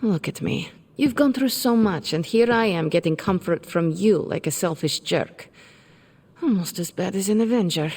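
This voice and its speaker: Low, sardonic young heroine. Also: female voice, animated, normal speed, darkish tone, breathy edge. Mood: self-mocking. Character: sardonic young female sorceress superhero, tough but self-critical. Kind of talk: video game cutscene acting.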